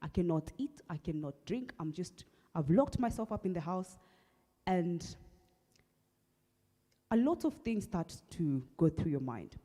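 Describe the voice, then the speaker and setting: A young Kenyan woman with a husky voice, earnestly telling her personal story at an awareness event, with halting restarts. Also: lowish voice, very animated, warm tone. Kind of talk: personal testimony talk. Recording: long hall reverb.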